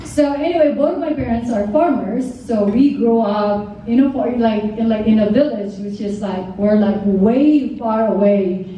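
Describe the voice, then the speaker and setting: A woman's voice: on the high side, moderate pace, hardly any pauses, dark tone, muffled recording. A woman from the Philippines with a Filipino accent, giving a rambling, heartfelt speech at a party table, full of fillers and stumbling English. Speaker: modeling agency owner giving a speech. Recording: distant mic in a very echoey room with faint noise.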